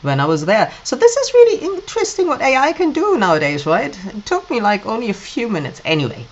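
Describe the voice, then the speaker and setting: A hoarse middle-aged woman hosting a podcast, talking in a chatty, rambling way with restarts. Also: fairly high pitch, huge pitch swings, a little fast. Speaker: podcast host. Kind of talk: casual podcast monologue. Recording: dull lo-fi recording.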